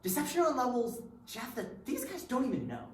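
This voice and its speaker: A woman's voice: on the high side, huge pitch swings, a little fast, a little mellow. A woman acting in a readers theatre piece with rehearsed, theatrical delivery. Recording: roomy stage recording with background hiss.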